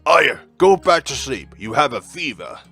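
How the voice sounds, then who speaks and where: A man's voice: mid-range voice, sing-song, measured pace, creaky, pushed and bright, soft background music, heavily acted. A man voicing a caring, firm old grandfather in a visual novel, scolding with concern.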